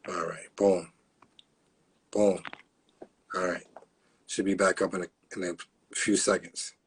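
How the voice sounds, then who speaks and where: A man hosting a live stream, talking in a laid-back casual way and backing his guest up with steady agreement. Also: low voice, a little slow, slight background noise.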